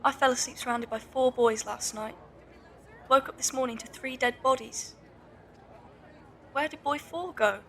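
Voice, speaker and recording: A woman's voice: high voice, normal speed, long pauses, a bit bright, a little hiss or hum. An actress playing a poor, plain-spoken young homeless beggar woman in a Victorian audio drama. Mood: weary, wondering.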